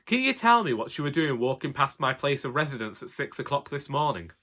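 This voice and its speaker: A man's voice: medium pitch, very animated, brisk, sharp tone. A man voicing a snobbish older housewife from the North of England in a comic story, pompous, over-proper and scolding.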